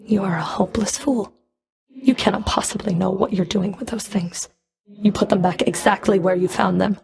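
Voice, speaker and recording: A woman's voice: mid-range voice, normal speed, vocal fry, a little mellow, husky. A woman playing a stern, scornful underworld fury in a video game, with a cold, sardonic, taunting delivery. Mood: stern, exasperated. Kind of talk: scripted video game character dialogue.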